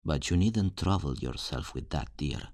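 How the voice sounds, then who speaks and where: A man playing a charming, roguish fantasy thief in an ASMR roleplay, flirtatious, teasing and theatrical in his smooth talk. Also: very deep voice, lively intonation, moderate pace, a little mellow, no room sound, studio quality, exaggerated voice. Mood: smooth instructing. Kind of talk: ASMR roleplay, scripted character acting.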